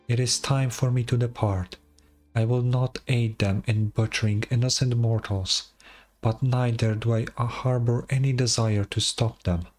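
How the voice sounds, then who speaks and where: A man's voice: fairly low pitch, darkish tone. Man voicing an ancient, proud dragon lord, grandly formal. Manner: grandiose, formal, deliberate delivery. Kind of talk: dramatic fantasy game dialogue.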